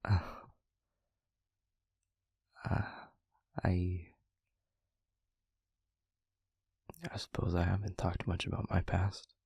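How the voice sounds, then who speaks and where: A man playing a gentle, thoughtful demon mentor in an intimate audio roleplay, speaking softly in a breathy, half-whispered voice with measured, hesitant pauses. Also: low voice, level tone, dry recording, clean studio sound, heavily acted. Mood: tender, hesitant.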